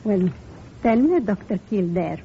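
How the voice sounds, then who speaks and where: A woman's voice: medium pitch, expressive, a little hiss or hum. Composed blind woman, old muffled radio. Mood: calm reflective. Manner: composed, measured, philosophical. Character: blind restaurant owner, wise, calm, gracious mother of grown sons. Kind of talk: 1940s radio drama acting.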